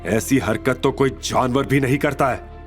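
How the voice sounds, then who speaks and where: A man playing a stern, authoritative major with quiet menace, in an audio drama. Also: mid-range voice, measured pace, faint music behind, pitched above the performer's own voice, natural voice. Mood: stern, menacing. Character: stern, commanding male major, protective and menacing. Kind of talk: Hindi audio drama acting.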